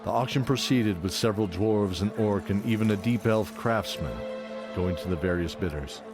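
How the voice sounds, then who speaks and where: A man's voice: on the low side, normal speed. A man narrating a scripted fantasy audio drama. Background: loud music.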